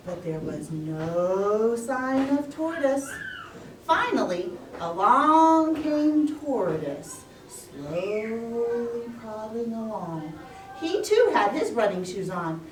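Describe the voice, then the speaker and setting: A woman tells a story to little children in a fairly high, animated voice. Her phrasing is simple and expressive. She sounds a little way from the microphone in an echoey room. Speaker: storyteller, puppeteer. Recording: roomy echo, distant microphone.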